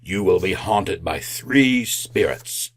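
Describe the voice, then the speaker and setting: A man's voice: low-pitched, lively intonation, slow pace, full character voice. An actor playing the mournful ghost of a dead businessman in an old-time radio drama, solemn, flowery and declamatory. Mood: grave warning.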